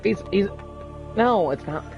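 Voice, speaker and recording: A man's voice: sits high, animated, unhurried, gravelly, lo-fi sound. Male game streamer, loose chatty delivery, trips over words, faint music under him. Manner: stammers and repeats words, lots of fillers. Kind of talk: casual live-stream chat with party friends.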